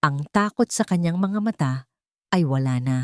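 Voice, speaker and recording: A woman reading a scripted dramatic story as its narrator, in a measured, solemn storytelling manner. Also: low voice, lively intonation, no room sound, clean studio sound.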